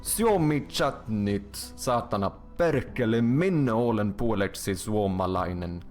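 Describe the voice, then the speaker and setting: A man's voice: on the low side, expressive, measured pace, a little mellow, faint music behind. A young man with a Swedish accent chatting loosely on a game development stream, swearing often and filling gaps with ums and likes. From Sweden. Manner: loose and profane, full of fillers and restarts. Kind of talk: casual live-stream chat.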